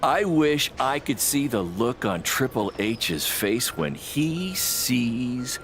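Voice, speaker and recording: An actor playing an excitable, fast-talking wrestling promoter with a salesman's manner in a video game cutscene. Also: medium pitch. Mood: proud, upbeat. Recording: clear background noise.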